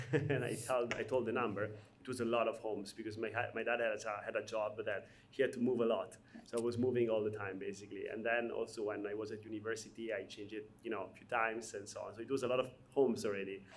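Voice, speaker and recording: A man hosts a relaxed founder chat. He talks in halting bursts full of "uh" and "you know", and laughs easily through his stories. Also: on the low side. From Albania. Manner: hesitant, many fillers, laughs often while telling stories. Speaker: podcast host. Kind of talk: casual conversational interview.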